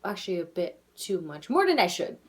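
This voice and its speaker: A young woman with a childish-sounding voice, talking candidly and rambling in a casual video letter to her twin, laughing softly at herself. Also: fairly low pitch, big pitch swings, brisk, a little hiss or hum. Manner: candid, rambling, self-deprecating with frequent soft laughs. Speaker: vlogger.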